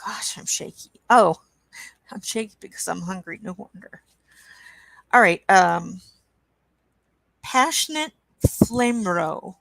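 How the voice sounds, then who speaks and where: A woman with a croaky voice hosting a coloring livestream, chatting casually and reading chat aloud at an easy pace. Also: medium pitch, animated, dry recording, low-quality recording. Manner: slow, unhurried, reads chat aloud with soft asides. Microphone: webcam.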